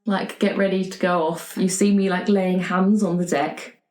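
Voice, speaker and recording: A woman's voice: lowish voice, flat delivery, normal speed, a little mellow, faint music behind. A woman playing a tabletop game, casually making up the story as she chats. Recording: roomy, echoey room sound.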